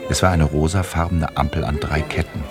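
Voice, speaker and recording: Guy narrating a literary radio play, low voice, music playing underneath. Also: fairly even intonation, brisk.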